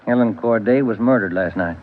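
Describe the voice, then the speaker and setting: A man's voice: lowish voice, fairly quick, close to an everyday voice. Tinny old-radio police detective played by a man. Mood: steady, probing. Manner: probing, plain-spoken. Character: steady male police detective, junior partner. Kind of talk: 1940s radio drama acting. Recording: tinny narrow-band vintage radio recording.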